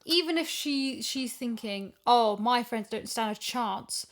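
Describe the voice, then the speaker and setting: Female podcast guest, fairly high voice, conversational, emphatic and keen to argue a point. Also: lively intonation, measured pace. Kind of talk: casual discussion of an audio drama.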